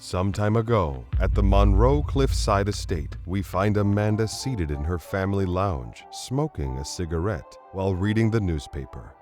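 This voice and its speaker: Expressive male narrator over music. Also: deep voice, moderate pace, few pauses. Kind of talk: scripted dramatic narration. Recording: music underneath.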